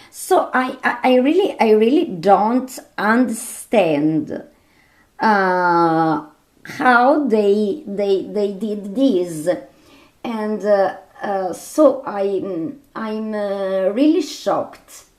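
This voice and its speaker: A woman who is not a native English speaker giving unscripted commentary as a video host, hesitant and repeating her words. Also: mid-range voice.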